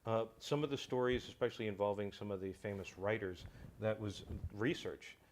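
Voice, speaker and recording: A man with a fairly low voice talks as a guest in a relaxed interview. He feels his way through sentences haltingly, doubling back on words as he goes. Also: slight background noise. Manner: hesitant, halting, repeats words mid-sentence. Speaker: guest, writer and creator of a comedy audio show. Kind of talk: casual interview chat.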